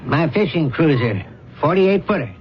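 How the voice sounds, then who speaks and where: An actor playing a gruff, blunt middle-aged American father and fishing boat skipper in a radio drama. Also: a little high, animated, normal speed, slight background noise, natural voice. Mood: wary. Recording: muffled old radio broadcast.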